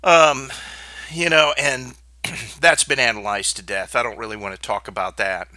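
A man's voice: medium pitch, fairly even intonation, normal speed, pushed and bright, no room sound. Stuffed-up, blunt male podcast host. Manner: blunt, opinionated, rambling with fillers. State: stuffed up from sinus trouble. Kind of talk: casual solo podcast talk.

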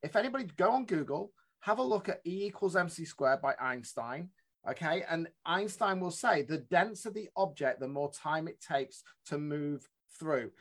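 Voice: medium pitch, expressive, moderate pace, a bit bright. An interview host who jumps in eagerly to add asides and quotes, apologizing for interrupting. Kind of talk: conversational interview.

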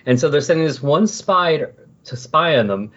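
A man talking casually and loosely on a comics podcast, thinking aloud as he explains, with a slightly husky voice. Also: fairly high pitch, lively intonation, normal speed, a bit bright. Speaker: podcast co-host discussing comics. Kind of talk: casual, rambling comics discussion. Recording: dull lo-fi voice recording.

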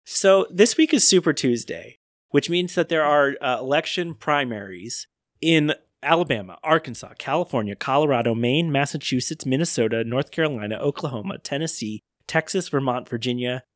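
A man's voice: mid-range voice, expressive, normal speed, dry recording. Male podcast host, mid-range voice, conversational presenting, close and dry. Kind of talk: casual chat, presenting weekly releases.

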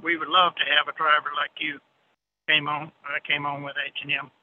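A man's voice: fairly high pitch, level tone, normal speed, a little hiss or hum. A man in his sixties, a veteran truck driver, telling casual anecdotes in a plainspoken, folksy way over a narrow phone-like line. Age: 60s.

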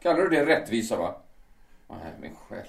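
A man voicing a bitter understudy who has turned to revenge, speaking with cold deliberation at a middling pitch. The voice sits back from the mic in an echoey room, so it carries a distant, roomy sound. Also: big pitch swings, gravelly. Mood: bitter fervor. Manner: cold and deliberate, swelling into fervent outbursts. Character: bitter vengeful male understudy, calm and menacing. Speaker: understudy singer in a theatre. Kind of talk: amateur audio drama acting.

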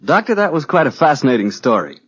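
A man's voice: mid-range voice, big pitch swings, fast talker, over loud music. An American man hosting an old-time radio show in a chatty, folksy style. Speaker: radio announcer and host. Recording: muffled, dry old radio recording.

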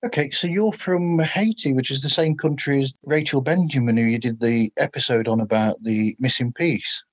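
A man's voice: medium pitch, normal speed. A man hosting an interview, putting questions to his guest in a casual, conversational way. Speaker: interview host.

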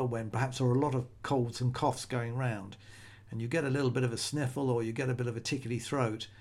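A man's voice: medium pitch, a little mellow. A middle-aged man hosting a solo podcast, talking in a conversational, rambling way with frequent restarts. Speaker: podcast host.

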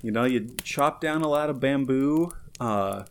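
A man's voice: mid-range voice, a little slow, a little hiss or hum. A man co-hosting a podcast in casual chat, upbeat and rambling, full of filler words. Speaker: podcast co-host.

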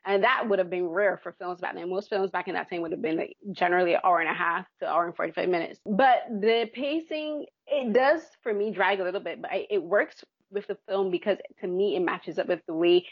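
Bajan woman talking movies, lowish voice, lots of ums, kinda dull dry sound. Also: a little fast, hardly any pauses, husky, dry recording. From Barbados. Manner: chatty, self-deprecating, many fillers. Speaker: film critic guest. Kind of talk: podcast film discussion.

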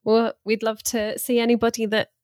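A woman's voice: on the high side, monotone, moderate pace, darkish tone, dry recording, studio quality. A woman hosting a gardening podcast, asking her guest questions in a friendly, casual way. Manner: friendly, asks interview questions. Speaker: podcast host.

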